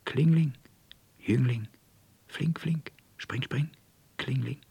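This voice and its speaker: A man's voice: mid-range voice, slow pace, gravelly, warm tone, husky, dry recording, voice pushed up, exaggerated voice. A man voicing enchanted house bells in a fairy tale, chiming in a lilting, clipped rhyme. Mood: bright, urging. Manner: lilting, clipped rhyming chant.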